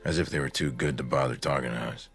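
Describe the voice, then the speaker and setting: An American actor playing a stern, practical, middle-aged husband, blunt and insistent, in a radio drama. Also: male voice, a bass voice, fast, creaky, fairly bright tone, faint music behind, heavily acted. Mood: matter-of-fact. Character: stern, practical, impatient small-town husband.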